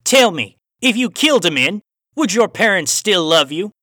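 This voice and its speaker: A theatrical phantom cowboy villain from an audiobook, Australian with a hint of twang. The voice is raised, bright and forceful, and very animated. He puts formal, taunting questions with deliberate menace. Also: high-pitched, no room sound, studio quality, voice pushed up, exaggerated voice. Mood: bitter menace. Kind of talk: audiobook character voice.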